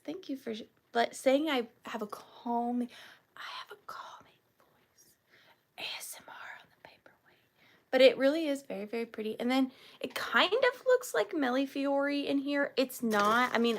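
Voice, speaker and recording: A woman hosting a live vintage sale from her home, talking softly and chattily, sometimes dropping to a whisper. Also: sits high, a little hiss or hum. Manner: soft, slow and chatty, drifting into whispers. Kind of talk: live auction selling chat. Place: home studio with ring light.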